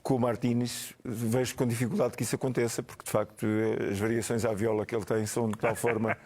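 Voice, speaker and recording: A man with a European Portuguese accent commenting in a football panel debate, in a halting, measured delivery. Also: mid-range voice, little pitch movement, darkish tone. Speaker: football pundit.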